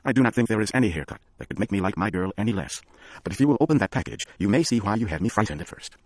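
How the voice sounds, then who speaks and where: A man doing a quiet serious young husband. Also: on the low side, lively intonation, dry recording. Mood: gentle. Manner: slow, subdued.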